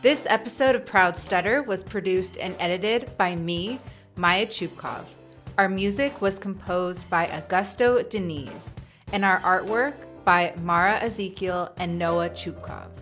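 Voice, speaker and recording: A woman hosting her own podcast, reading a script in an upbeat, clear way. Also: lowish voice, measured pace, fairly bright tone, soft background music. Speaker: podcast host.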